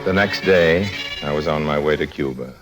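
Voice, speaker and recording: Very deep, sly radio con man. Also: moderate pace, over loud music. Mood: wry narration. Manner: breezy, casual, sly asides. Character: wily wisecracking con-man and narrator of his own misadventures. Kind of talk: 1950s radio drama acting.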